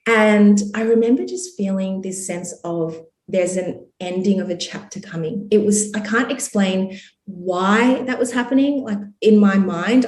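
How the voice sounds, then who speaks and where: An Australian woman in her thirties tells her story on a podcast in a relaxed, upbeat way, with plenty of "you know" and "like". Her voice sits at an everyday middle pitch. The recording has a noticeable roomy echo. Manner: chatty, upbeat, many fillers like "you know" and "like". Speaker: podcast guest, entrepreneur. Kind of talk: casual interview storytelling.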